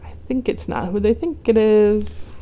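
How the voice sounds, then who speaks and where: A young adult woman reading a picture book aloud to children, gently pausing to ask the listeners questions. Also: medium pitch. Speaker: children's story reader. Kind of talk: read-aloud storytime for kids.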